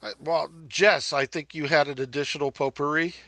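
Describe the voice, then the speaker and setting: A man hosting a casual podcast chat, easygoing and quick with asides. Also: a little high, steady tone, bright, forceful tone, dry recording. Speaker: podcast host.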